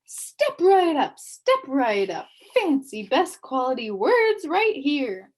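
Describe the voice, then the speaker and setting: A woman reading a storybook aloud and voicing a loud, pushy male word salesman at a market stall, calling out with booming hawker's patter. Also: sing-song, slow pace, full character voice, poor audio. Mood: hawking.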